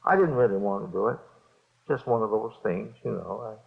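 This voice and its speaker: An actor playing a jumpy middle-aged small-time bookmaker confessing to police in halting, repetitive speech, in 1950s radio drama style. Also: male voice, fairly low pitch, lively intonation, creaky. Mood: weary, explaining. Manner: halting, repetitive, nervous hedging. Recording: dull old radio recording.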